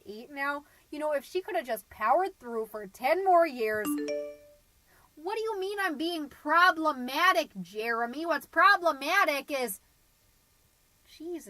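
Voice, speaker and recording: A woman's voice: high voice, no echo, low-quality recording. A woman playing a middle-aged suburban stay-at-home mom, gossipy and naive, rambling through a comedic podcast monologue with folksy, dramatic emphasis. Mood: indignant, judgmental. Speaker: podcast host.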